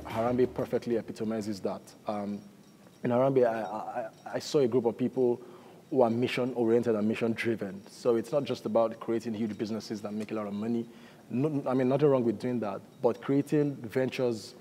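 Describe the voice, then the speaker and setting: A young man talks earnestly with a few restarts, his voice mellow and dark. A long reverb and faint ambience fill the big room around him. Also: medium pitch, slight background noise. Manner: earnest, halting. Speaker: MBA student. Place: large elegant room indoors.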